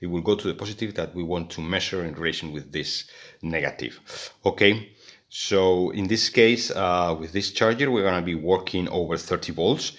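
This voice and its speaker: A man's voice: sits low, normal speed, a bit bright. A man talking through an electronics repair at his workbench, hesitant, with many fillers and restarts. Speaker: electronics hobbyist. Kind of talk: hands-on repair tutorial. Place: indoors at a workbench.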